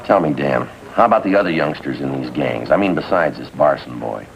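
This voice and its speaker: A man playing a dry, world-weary American detective in a 1950s police drama, terse and deadpan. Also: extremely low pitch, a little fast, creaky, muffled recording, full character voice. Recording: noisy vintage TV soundtrack.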